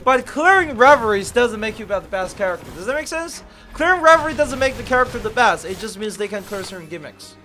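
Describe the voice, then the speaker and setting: A man's voice: high-pitched, very animated, quick pace, hardly any pauses, pushed and bright, faint music behind. A man whose first language is Chinese, streaming a game live and talking casually, swearing and exclaiming as he plays.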